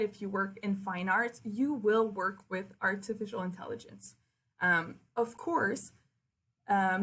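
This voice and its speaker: A woman in her twenties with a French Canadian accent, giving a friendly, conversational presentation for a virtual open house in her second language. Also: mid-range voice. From Quebec City, Canada. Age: 20s. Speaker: university liaison officer, presenter.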